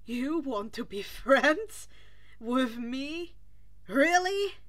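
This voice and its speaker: A woman voicing a guarded, sullen, self-doubting teenage boy in an audiobook story, blunt and halting. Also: sits high, expressive, fairly bright tone, full character voice. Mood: baffled. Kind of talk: expressive audiobook character reading.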